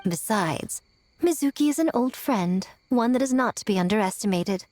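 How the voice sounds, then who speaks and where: A woman's voice: a little high, expressive, normal speed, darkish tone, no echo. A woman voicing a sly, self-assured fox shrine woman in a video game, poised, teasing and eloquent. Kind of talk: scripted video game voice acting. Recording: music behind the voice.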